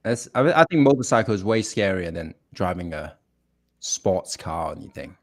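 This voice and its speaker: A man's voice: low voice, lively intonation, moderate pace. A man from England chatting casually as a guest musician, telling stories in a self-deprecating way. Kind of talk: casual chat.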